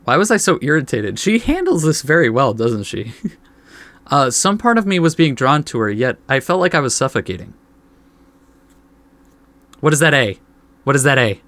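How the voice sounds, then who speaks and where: A man's voice: medium pitch, very animated, normal speed, pauses often, a bit bright, dry recording. A man reading game text aloud as a let's play host, adding laid-back asides.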